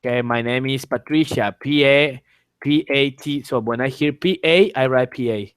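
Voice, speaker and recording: Male online tutor, mid-pitched voice, informal and explanatory, dry recording. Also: moderate pace, clean tone, dry recording. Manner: explanatory, repeats phrases and spells letters aloud. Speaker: English teacher, exam tutor. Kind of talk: informal online exam lesson. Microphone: online call microphone.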